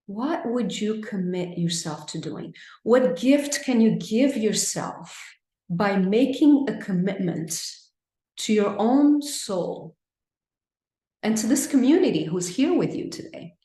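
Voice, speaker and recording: A woman with a Brazilian accent leading a mindfulness class on a video call, gentle and affirming. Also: medium pitch, animated, takes it slowly, roomy sound. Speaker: mindfulness teacher. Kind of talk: live online class, reading chat responses.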